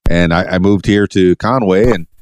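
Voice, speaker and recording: A man's voice: low voice, normal speed, a little mellow, a little hiss or hum. A man from Miami hosting a podcast, asking rambling, playful questions with many stammers and restarts. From Miami, USA. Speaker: podcast host. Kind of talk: casual interview.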